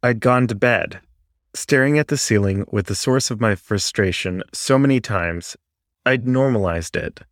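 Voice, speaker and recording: A man's voice: lowish voice, measured pace, no echo. Man reading fiction, earnest, very dry sound. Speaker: story narrator. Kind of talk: first-person dramatic story reading.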